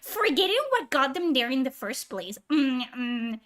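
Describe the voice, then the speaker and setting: Woman streamer going off, sarcastic and sweary, kinda high voice. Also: sing-song, measured pace, a bit bright. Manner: heated, sarcastic, profane. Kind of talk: ranting stream commentary.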